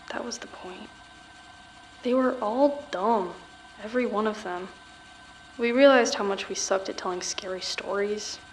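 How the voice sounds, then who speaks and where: Actress as guarded teenage murder suspect, mid-pitched, subdued and halting, audio drama, light background noise. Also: female voice, lightly acted, low-quality recording. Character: guarded teenage girl accused of murder, recounting events.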